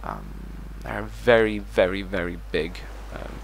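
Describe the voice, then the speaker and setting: A man's voice: lowish voice, fairly even intonation, measured pace, gravelly, slight background noise. A man hosting a let's play, talking through his strategy game moves as he thinks them over. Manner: thinks aloud while managing a strategy game. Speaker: gaming channel host.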